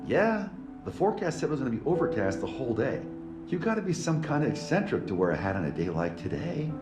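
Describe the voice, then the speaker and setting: A man's voice: fast, reverberant, over loud music, natural voice. A man voicing an awkward, affectionate young man in love in a video game, casual and sheepish. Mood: affectionate, teasing. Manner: casual, sheepish, lively swings in pitch. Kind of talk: English video game dub acting.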